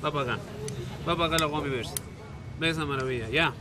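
A man's voice: on the high side, fast talker. A man in his thirties hosting on location with an Ecuadorian Spanish accent, playful and coaxing, often repeating words, holding a handheld mic. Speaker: TV host. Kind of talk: lively on-location presenting. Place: hotel kitchen and street outdoors. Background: street ambience, traffic.

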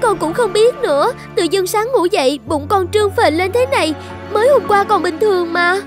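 A woman voicing a naive young daughter in an animated folktale. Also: extremely high pitch, moderate pace. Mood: alarmed, pleading. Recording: music bed under the voice.

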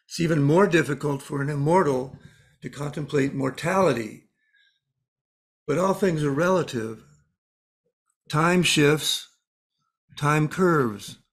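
An actor playing a world-weary spirit, blunt and cryptic, pronouncing grim truths matter-of-factly in a dramatised story. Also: male voice, mid-range voice. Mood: cryptic, philosophical.

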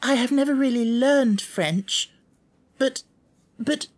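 A man voicing a polite, imaginative little girl in an audiobook, gentle and earnest in manner. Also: very high voice, slow pace, pitched above the performer's own voice. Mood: shy, awkward. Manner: gentle, appreciative, precise. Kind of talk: audiobook character voice.

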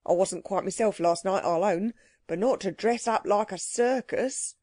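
A woman voicing a rough, indignant working-class man in a storybook, mocking. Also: mid-range voice, moderate pace, mellow, dry recording, clean studio sound, lightly acted.

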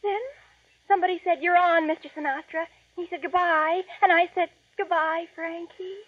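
A dull vintage radio recording of an excitable bobbysoxer girl. Her extremely high voice is dramatic, self-important and quick to snap, in 1940s radio comedy style. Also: no room sound. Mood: swooning. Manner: breathless, dramatic, snippy storytelling. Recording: muffled old radio recording.

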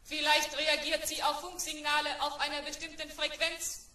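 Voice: sits high, completely flat delivery, normal speed, pushed and bright, echoey room, distant mic. An actor playing an obedient, literal-minded robot in a German radio play, reporting in clipped, formal, emotionless phrases.

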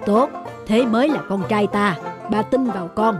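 An elderly baker father in a cartoon moral tale, acted by a woman. The voice is calm, measured and affectionate, at a middling pitch over noticeable music. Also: lightly acted. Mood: earnest. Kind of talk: animated moral-story acting.

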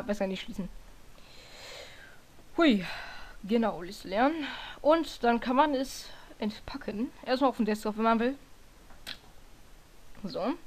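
A hoarse woman talking casually through a screen-recorded software tutorial, often stopping to correct herself and filling pauses with hesitations. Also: medium pitch. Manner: rambling, self-correcting, lots of fillers.

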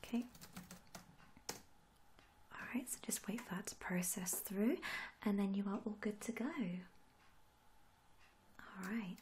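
A young woman performs a soft-spoken ASMR roleplay as a service worker. Her voice sits at a medium pitch and is hushed and gentle, drifting into whispers. There is clear noise behind her in the recording. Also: fairly even intonation, a little slow, pauses often. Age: young adult. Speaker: ASMR creator playing a tattoo artist. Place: small room indoors.

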